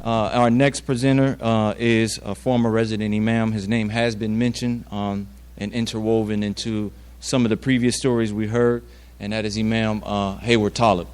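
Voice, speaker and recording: A man acting as master of ceremonies introduces presenters at an event, hesitant and pausing often. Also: lowish voice, little pitch movement, darkish tone, clean tone. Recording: faint background hum and noise.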